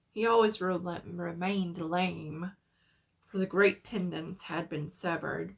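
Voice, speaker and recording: A woman narrator giving a solemn, measured dramatic reading of a literary horror story. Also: on the low side, gravelly, fairly bright tone.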